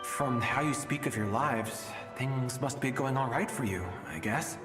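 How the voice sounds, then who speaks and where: A man's voice: mid-range voice, natural voice. Echoing, weary doomed father played by a man. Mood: wistful. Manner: halting, resigned, reflective. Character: weary doomed father from an ancient age, searching for his daughter. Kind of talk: dramatic game voice acting. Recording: echoey hall reverb, music behind. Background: music.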